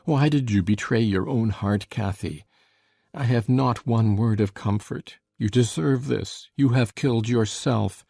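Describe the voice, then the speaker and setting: A man playing a tormented, bitter lover in a dramatized audiobook, with a gravelly, husky voice and an anguished, intense delivery. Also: medium pitch, measured pace, warm tone, no echo. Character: tormented, brooding lover, bitter and fiercely passionate.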